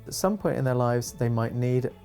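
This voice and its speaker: A man's voice: medium pitch, expressive, normal speed, creaky, warm tone. A man who works with youth groups, describing his work as if answering an interview. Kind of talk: interview-style account of work for a promotional film. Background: background music.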